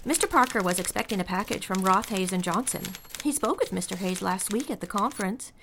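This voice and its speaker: A woman's voice: medium pitch, brisk, natural voice. A woman playing a candid, businesslike secretary in an audio drama, polite but pointed. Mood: businesslike, concerned. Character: brisk, candid 1950s-style female office secretary on the phone. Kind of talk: scripted audio drama dialogue. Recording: clear rustling, clicking and typing noise.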